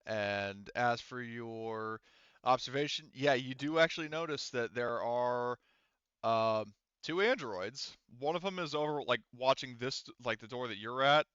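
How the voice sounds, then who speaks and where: A man with a smooth, clear voice works as game master for a tabletop roleplaying game. He explains rules and scenes in a casual, halting way full of asides. The sound is dry and slightly dulled. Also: mid-range voice, fairly bright tone, no room sound, highs cut off.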